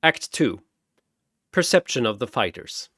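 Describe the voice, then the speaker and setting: Guy reading dramatic story narration, wry and theatrical, lively pitch, really dry sound. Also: measured pace, no echo. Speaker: narrator and author.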